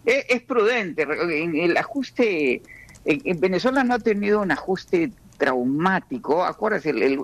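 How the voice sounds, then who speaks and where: A man from Peru, speaking as an economist guest on a radio interview. He explains at a measured, careful pace, often restarting his sentences, and his voice sits in a middle pitch. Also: no room sound, poor audio.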